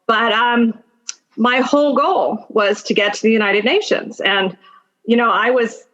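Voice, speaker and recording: A woman's voice: on the high side, unhurried, a bit bright, muffled recording. A woman in her fifties speaking as an interview guest, telling personal stories conversationally with emphatic repetition of key phrases. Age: 50s.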